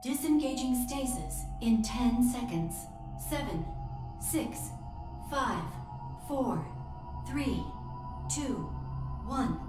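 A high, slow female computer voice makes calm, impersonal announcements in a sci-fi game. It echoes as automated system messages do. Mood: impersonal, measured. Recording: echoey, with loud music behind.

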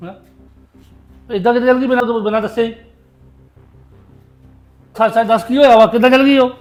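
A man's voice: sits high, animated, moderate pace, roomy sound, soft background music. A man playing a hot-tempered, stubborn grown son in a family drama, complaining and accusing. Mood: angry, accusing.

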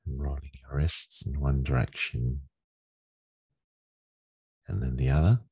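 A man leading a guided meditation as a teacher, giving gentle, unhurried instructions with long pauses in a gravelly, husky voice. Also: very deep voice, steady tone. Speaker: meditation teacher leading a daily group session.

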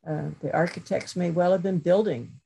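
A middle-aged woman professor giving a thoughtful webinar talk over a video call, pausing with many fillers and restarts. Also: fairly low pitch, creaky, mellow, slight background noise, low-quality recording. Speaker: guest lecturer, professor.

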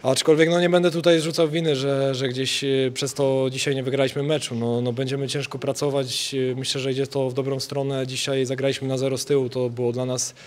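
A young man, a football player, answering post-match interview questions hesitantly, repeating words and filling pauses. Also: medium pitch, flat delivery. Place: stadium interview area before sponsor wall. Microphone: handheld reporter mic.

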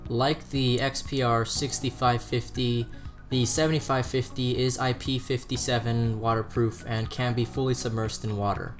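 A man's voice: mid-range voice, fairly even intonation, normal speed. Male product reviewer over background music. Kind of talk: scripted product review narration.

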